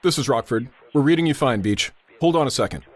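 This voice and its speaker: An actor playing a brisk, steady airport tower controller under pressure, in a radio drama style. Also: male voice, medium pitch, close to an everyday voice. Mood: calm, probing.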